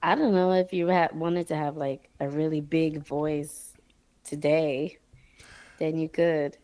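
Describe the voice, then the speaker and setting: A woman's voice: low-pitched, steady tone, slow pace, warm tone, dry recording. A woman co-hosting a podcast, chatting casually and teasing her partner, laughing often. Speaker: podcast co-host.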